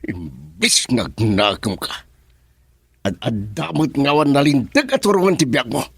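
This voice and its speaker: A man playing an exasperated older father who scolds in a raspy voice, in a radio drama. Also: medium pitch, very animated, unhurried, dry recording, clean studio sound, full character voice. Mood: scolding.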